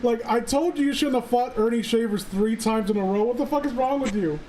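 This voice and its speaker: A man's voice: extremely high pitch, brisk, a little mellow. A man in casual chat, sweary and opinionated. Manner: profane, opinionated. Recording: clearly noisy background.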